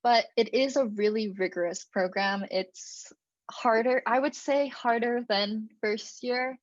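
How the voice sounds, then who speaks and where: A woman's voice: fairly high pitch, unhurried, dry recording, highs cut off. A young woman from Alberta, Canada, giving a student presentation on a video call in a casual way, with lots of "um" and "like". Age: young adult. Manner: casual, many fillers and "like". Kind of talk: online presentation talk. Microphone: computer mic on a video call.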